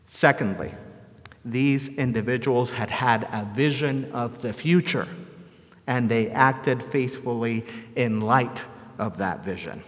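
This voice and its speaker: Male preacher, medium-pitched, measured rhetorical sermon delivery, recorded in a large hall with long reverb. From El Salvador. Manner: measured, rhetorical repetition and deliberate phrasing.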